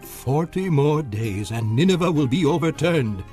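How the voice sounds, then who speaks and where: A man's voice: a little high, lively intonation, unhurried, darkish tone. A man playing an anguished, fervent Hebrew prophet in a dramatized Bible reading, declaiming solemnly. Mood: solemn warning. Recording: echoey long reverb, faint music behind.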